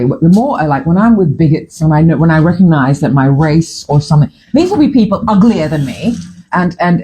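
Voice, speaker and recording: Fijian-accented host in their 50s, mellow tone, rambles and swears casually. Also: mid-range voice, low-quality recording. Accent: Fijian English. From Fiji. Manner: opinionated, rambling, with frequent restarts and swearing. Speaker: podcast host. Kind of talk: casual morning chat.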